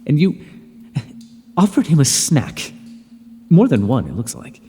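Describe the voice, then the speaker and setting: A man's voice: fairly high pitch, wildly swooping pitch, measured pace, dark tone, long reverb tail, faint music behind. A man voicing an irritable male god who rules the underworld, speaking in a haughty, scolding way with dry sarcasm, as an audio roleplay.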